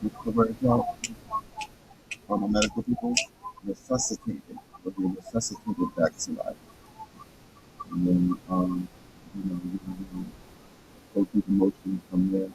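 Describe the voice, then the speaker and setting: A hoarse, raspy man reads aloud and talks casually, stopping and restarting often. His low voice is heavy with fry, and his pitch swings widely between phrases. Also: wildly swooping pitch, noisy background. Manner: halting, stumbles over words, frequent restarts. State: hoarse, throat hurting. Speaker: host reading a book aloud. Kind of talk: casual reading with commentary.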